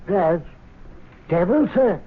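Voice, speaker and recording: An actor playing a proper, deferential club servant in a radio drama, formal and polite. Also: male voice, high-pitched, expressive, takes it slowly, husky, heavily acted. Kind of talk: 1970s radio drama acting. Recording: noisy, tinny old radio broadcast.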